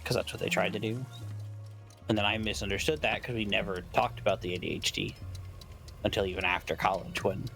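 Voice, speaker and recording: A man's voice: lowish voice, steady tone, fairly quick, vocal fry, fairly bright tone. A man talking casually as a guest co-streamer on a game stream. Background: music.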